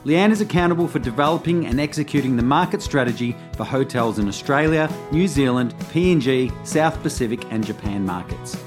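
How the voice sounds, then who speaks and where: A man reads a scripted introduction in an even, formal way. Plucked guitar music plays clearly underneath his voice. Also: mid-range voice, fairly quick, few pauses. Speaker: show announcer. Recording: music bed behind voice.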